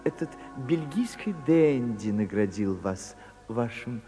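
A man's voice: mid-range voice, animated, takes it slowly, dark tone, faint music behind, voice pushed down. A man voicing a domineering, scornful middle-aged mother in a radio play, melodramatic, scolding and lamenting. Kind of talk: radio drama acting, play within a play.

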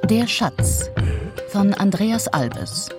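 A woman's voice: mid-range voice, big pitch swings, extremely slow. A woman announcer reading scripted closing credits for a radio play. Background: prominent music.

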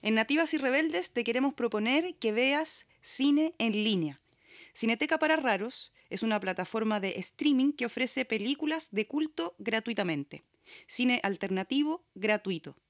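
A woman's voice: on the high side, animated, normal speed, no room sound, clean studio sound. A Chilean woman reading scripted announcements as a podcast host. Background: background music.

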